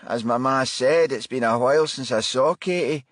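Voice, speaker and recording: A man's voice: mid-range voice, normal speed, dry recording, clean studio sound, voice pushed up, lightly acted. A man voicing a sullen, defensive man in his late twenties for an audiobook. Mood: offhand, sullen. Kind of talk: audiobook character dialogue.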